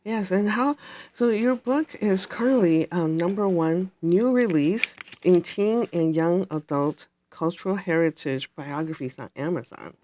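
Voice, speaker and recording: Low-voiced woman hosting a TV interview. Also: unhurried, mellow. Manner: asks short questions, frequent affirming backchannels. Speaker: TV talk show host. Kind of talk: interview host.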